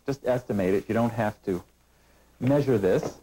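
A middle-aged man hosting a cooking show, calmly walking through each step of a recipe in a studio kitchen with a lapel mic. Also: lowish voice, moderate pace, poor audio. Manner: calm step-by-step instructive delivery. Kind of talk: scripted cooking demonstration.

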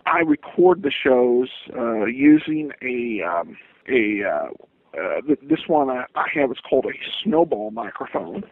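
A man answering interview questions as a podcast guest, speaking in a halting, hesitant, stop-start way over a lo-fi line. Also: mid-range voice, very animated. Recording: tinny lo-fi phone-like line.

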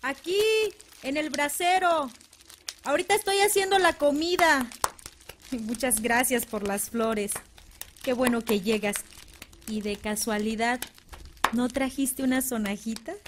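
A woman's voice: high-pitched, unhurried, background noise. An actress playing a loving young Mexican village wife and mother in an audio drama, affectionate and sweet. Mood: tender.

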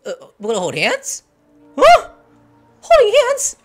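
A man giving casual let's-play commentary, joking and reacting out loud. His voice jumps around with lively energy. Faint game music plays behind him. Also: extremely high pitch, measured pace. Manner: joking, excitable, talks to himself. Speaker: gamer playing the quest.

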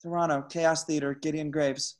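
A man reading the part of a hapless, earnest young slacker hero at an amateur table read, halting and excitable. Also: fairly high pitch, natural voice. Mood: determined. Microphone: video call.

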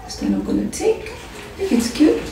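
A woman's voice: extremely high pitch, very animated, moderate pace, slight background noise. Chatty young woman in an echoey bathroom. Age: young adult. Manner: chatty, playful, repeats herself for fun. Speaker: vlogger and home baker. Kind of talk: casual get-ready-with-me vlog chat. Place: bathroom with tiled walls and glass shower. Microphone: camera set back across the room. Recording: echoey bathroom, heard from a distance, soft music.

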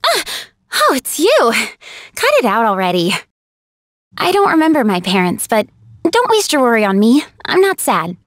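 Young game heroine, high voice, eager, energetic, dry studio sound. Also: female voice, normal speed, dry recording. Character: cheerful young woman, earnest and eager. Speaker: game character. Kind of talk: game voice clips.

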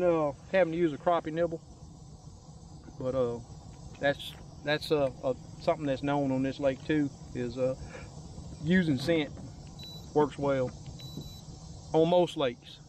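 Folksy man, higher-pitched voice. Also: a little mellow, slight background noise. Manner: folksy, unhurried, rambling. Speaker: angler hosting a fishing vlog. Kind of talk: casual fishing commentary. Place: open boat on a lake, outdoors.

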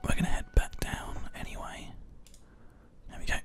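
A gamer whispers a calm, unhurried running commentary in ASMR style. The voice is breathy and unvoiced, very close on a binaural mic that moves from ear to ear. Keyboard typing and mouse clicks sit behind it. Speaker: host and gamer narrating play. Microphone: close binaural microphone, ear to ear.

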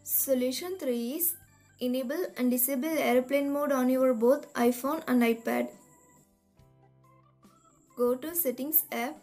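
A woman reading a scripted step-by-step tech tutorial in a measured, instructional manner. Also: high-pitched, a little mellow. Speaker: tutorial narrator. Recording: voice-over with music behind. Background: background music.

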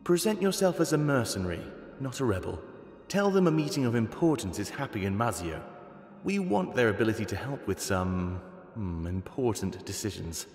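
A man's voice: fairly low pitch, big pitch swings, normal speed, a little mellow, faint music behind. A man playing a solemn, calculating animal rebel leader in a fantasy video game. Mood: persuasive. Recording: echoey cavernous reverb.

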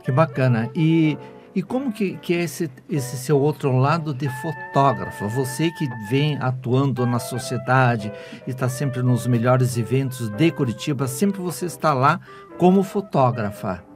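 A man's voice: mid-range voice, a little slow. A man in his sixties with a Brazilian accent, hosting a radio interview on a studio microphone. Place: small foam-padded radio studio. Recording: music behind the voice. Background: music.

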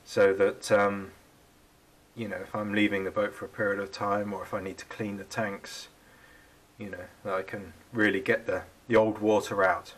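A man's voice: fairly low pitch, flat delivery, normal speed, fairly bright tone, reverberant, far from the mic. A man in his thirties with the flu, casually explaining his boat build while working in a cold garage workshop. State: has the flu.

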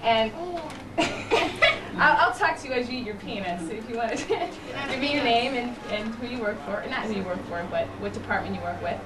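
A woman's voice: a little high, animated, moderate pace, a loud crowd. A young woman interviewing people at an outdoor stadium with a handheld mic, friendly and giggly. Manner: friendly, giggly, encouraging questions. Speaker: company video interviewer. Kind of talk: casual on-camera interviews. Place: outdoor baseball stadium and dugout. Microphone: handheld mic on camcorder audio. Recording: distant camcorder audio with noise.

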